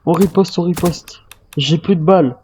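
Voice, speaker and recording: A man's voice: on the high side, moderate pace, dark tone, a little hiss or hum. A man improvising in character in an online role-play game, insistently repeating short phrases. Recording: slightly dull, lo-fi game voice chat.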